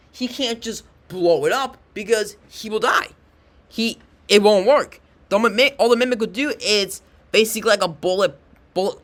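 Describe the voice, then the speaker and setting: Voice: medium pitch, a bit bright. Rambling host of a casual commentary video. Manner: rambling, repeats and corrects himself.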